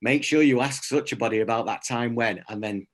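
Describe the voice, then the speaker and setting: A man's voice: medium pitch, fairly quick. A man speaking conversationally as a workshop presenter, explaining through anecdotes and little examples of dialogue. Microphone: video call.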